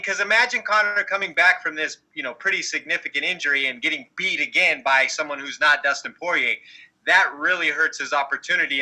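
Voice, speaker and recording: Man, strikingly sharp forceful voice, raised pitch, podcast co-host, opinionated sports analysis, argumentative, explanatory. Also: poor audio.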